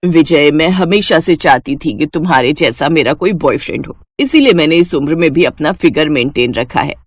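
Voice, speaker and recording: A woman's voice: sits low, expressive, normal speed, slightly rough, no room sound, pitched below the performer's own voice. A woman voicing an older, strict, scolding mother-in-law for a cartoon, with a stern, chiding manner. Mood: scheming. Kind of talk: cartoon dubbing.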